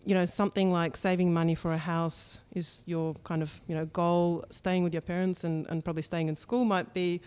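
A woman, talking openly about her working life in a relaxed interview. Her voice is smooth and clear and sits a little low, and she speaks into a studio mic in a bright room. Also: normal speed, hardly any pauses. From Russia. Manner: candid and chatty, with frequent ums. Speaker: startup co-founder, podcast guest. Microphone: studio mic on a boom arm.

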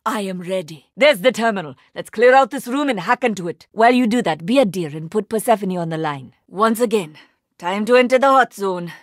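A woman voicing a composed, determined heroic archer and scientist in a video game, speaking in a formal, measured, precise way. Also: mid-range voice, expressive, no echo, clean studio sound, natural voice. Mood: focused. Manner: formal, measured, precise wording with few contractions. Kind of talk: video game character voice lines.

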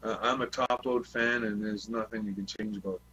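A man's voice: fairly low pitch, steady tone, fairly quick, pushed and bright, dull audio. A man chatting casually as a CB radio hobbyist, telling stories in a repetitive, sweary way on an online group call. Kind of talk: casual chat. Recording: noisy online voice chat with hum.

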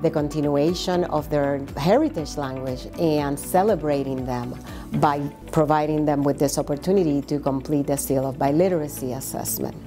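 A middle-aged woman, a school language program supervisor, giving measured, explanatory interview answers in a classroom. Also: deep voice, fairly even intonation, over music. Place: classroom with flags behind.

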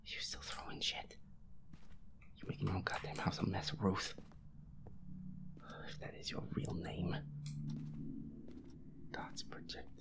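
A young man giving casual gameplay commentary on a horror game, swearing and muttering jitterily in a hushed, breathy voice. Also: low-pitched, completely flat delivery, slow pace, long pauses. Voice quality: breathy, hushed, often whispering. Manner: profane, jittery, muttering to himself and at the game's ghost. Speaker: gamer playing a horror game.

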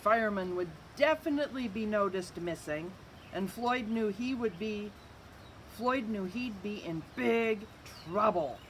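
Expressive woman reading aloud, lively pitch. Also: unhurried. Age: middle-aged. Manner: expressive, amused storyteller delivery. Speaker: library storyteller. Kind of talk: read-aloud picture book narration. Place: outdoors on a covered wooden bench structure. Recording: outdoor recording with faint ambient noise.

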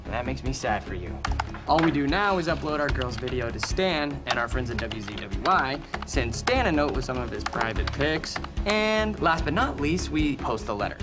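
A man acts out a cocky computer hacker in a live-action thriller. He has an American accent and an average-pitched voice. He leans on words with sarcastic relish, over clearly audible music. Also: expressive, lightly acted. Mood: mocking, gleeful. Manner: smug, swearing, drawn-out emphasis. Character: cocky, sarcastic male computer hacker bossing his assistant.

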